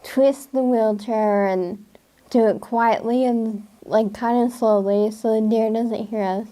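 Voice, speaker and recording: Teen girl hunter, slow and hesitant, filler-heavy, guitar music underneath. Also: a little high, steady tone, dark tone. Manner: halting, with many likes and ums. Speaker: young hunter. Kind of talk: casual interview.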